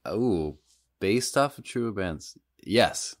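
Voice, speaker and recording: A man with a deep voice who speaks slowly. He co-hosts a casual podcast and picks his words carefully, with some hesitation. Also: expressive, no room sound, clean studio sound. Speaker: podcast co-host. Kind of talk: casual chat.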